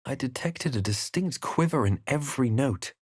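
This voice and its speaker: A man playing a wary, quietly wry fantasy adventurer in a video game, speaking in a measured, deliberate, dramatic way. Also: mid-range voice, lively intonation, dry recording, studio quality, natural voice. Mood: grave, intent. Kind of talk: video game voice lines, dramatic narration and muttered asides.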